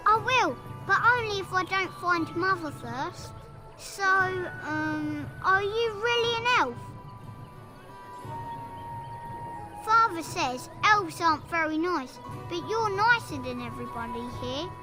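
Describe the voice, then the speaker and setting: A small, innocent farm child, with a very high, plaintive voice. The words come slowly and hesitantly over clearly audible music. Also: animated. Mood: shy, curious. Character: lost, trusting little farm child waiting for mother. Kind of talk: video game character dialogue.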